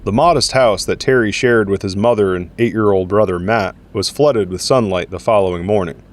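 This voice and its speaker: A man narrates a story in a fairly low voice. His delivery is scripted, descriptive storytelling in the third person. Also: fairly quick.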